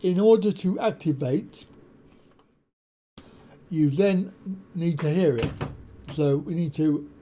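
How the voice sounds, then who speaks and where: A man hosting a tech tutorial, explaining a software setup step by step with frequent pauses and ums on a desktop USB mic at his home computer desk. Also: a little high, a little hiss or hum. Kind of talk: screen-share software walkthrough. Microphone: desktop USB condenser mic.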